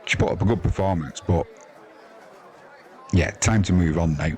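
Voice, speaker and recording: A man's voice: deep voice, normal speed, a little mellow. A man with an English accent hosting a live sports stream, giving casual, rambling, matey cricket commentary off the cuff. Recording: crowd noise behind the voice.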